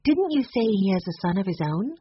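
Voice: mid-range voice, sing-song, brisk, slightly rough, muffled recording, voice pushed up. A storybook narrator voicing an enthusiastic, curious and cheerful young girl.

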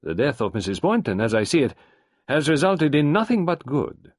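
A man's voice: on the high side, very animated, no room sound, studio quality, voice pushed up. A man doing a hesitant French doctor. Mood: earnest. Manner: halting, thoughtful, with hesitant fillers. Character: earnest French doctor, middle-aged man.

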